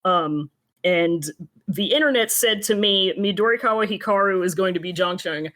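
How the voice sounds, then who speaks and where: A woman's voice: mid-range voice, moderate pace, fairly bright tone. A woman in her thirties co-hosting a casual podcast chat, wry and sweary, often hesitating as she searches for words. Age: 30s. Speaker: podcast co-host. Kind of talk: casual chat about anime and voice actors.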